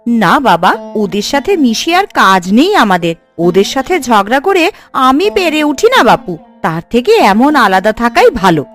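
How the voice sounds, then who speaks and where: High-pitched, lively scolding village housewife played by an actress. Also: female voice, moderate pace. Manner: scolding, argumentative. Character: quarrelsome, outspoken village housewife in a folk tale. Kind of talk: animated cartoon voice acting. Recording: music under the voice.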